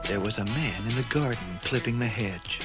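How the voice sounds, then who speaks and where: A man narrating a scripted children's story in a measured way with dramatic pauses. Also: on the low side. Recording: 1980s read-along record with music.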